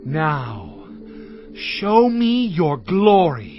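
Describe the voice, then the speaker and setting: An actor playing Moses in a dramatized audio Bible, speaking in an earnest, pleading, drawn-out way. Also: male voice, on the high side, sing-song, full character voice. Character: Moses, pleading humble leader, adult man. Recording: slightly dull audio with music bed.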